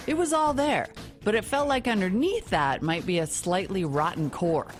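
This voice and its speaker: A woman host narrating a scripted report in a wry, opinionated way. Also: fairly low pitch. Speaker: show host. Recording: music bed underneath.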